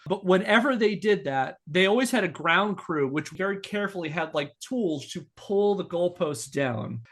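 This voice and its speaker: A man's voice: a little high, fairly bright tone. An American man hosting a podcast, reading scripted narration with casual asides. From northeastern United States. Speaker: podcast host.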